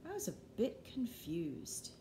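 A middle-aged woman reads a children's picture book aloud expressively, with measured delivery and dramatic pauses. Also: mid-range voice, wildly swooping pitch, mellow. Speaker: storybook reader. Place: living room, indoors. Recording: roomy home recording with background noise.

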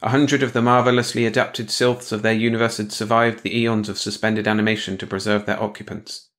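A man's voice: lowish voice, level tone, a little fast, a bit bright. A man reading a scripted science fiction story as an audiobook narrator, in bedtime read-along style.

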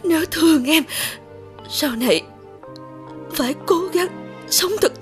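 A frail, grieving mother in a cartoon folk tale. She speaks very slowly in a high, weak, tearful voice, over gentle music. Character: frail, ailing, loving mother, sorrowful. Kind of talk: animated folk tale voice acting.

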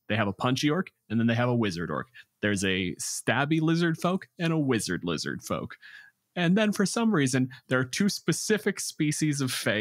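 A man's voice: mid-range voice, fairly bright tone, dry recording, clean studio sound. A man hosting a podcast, with scripted introductions and casual gaming chat. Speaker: podcast host.

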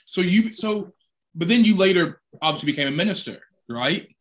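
A man's voice: high voice, animated, normal speed. A middle-aged man, a priest interviewing a guest over a video call, friendly and upbeat, with some fillers. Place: home room with bookshelves.

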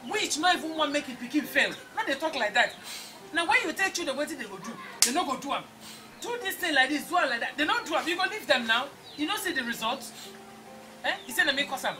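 An actress playing a defensive middle-aged mother who protests loudly in Nigerian Pidgin. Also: sits high, lively intonation, music in the background. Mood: scolding. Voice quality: loud, protesting, rapid Pidgin. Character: defensive mother of a ruined son. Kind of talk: film drama acting.

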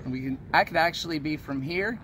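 A man's voice: on the high side, fast talker, sharp tone, a little hiss or hum. A man in his thirties casually explaining things as an interview guest outdoors. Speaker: guest, van-life traveler and student. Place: outdoors beside a parked van.

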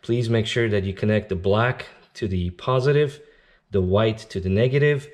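Guy with kinda low voice doing a plain how-to product demo, step by step, informal. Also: moderate pace, a little mellow. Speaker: company representative presenting an install tutorial. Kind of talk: informal product demonstration and instructional explanation. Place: indoors at a tabletop demonstration bench.

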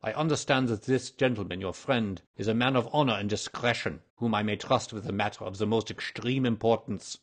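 Haughty aristocratic king as a male narrator acts him, storybook character, formal, emphatic, husky, low-pitched. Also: a little fast, few pauses, natural voice. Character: proud, agitated, aristocratic Bohemian king in disguise.

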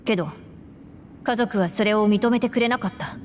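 An actress playing a composed young woman deputy who speaks in a formal, deadpan way. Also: mid-range voice, normal speed, a little hiss or hum, lightly acted. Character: calm, dry young woman gang deputy. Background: game music.

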